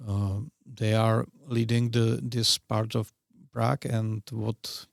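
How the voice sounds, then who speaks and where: A man's voice: lowish voice, level tone, takes it slowly, vocal fry, no room sound, clean studio sound. A middle-aged man with a Czech accent, a podcast guest talking in casual interview chat, hesitant and full of fillers and broken phrasing.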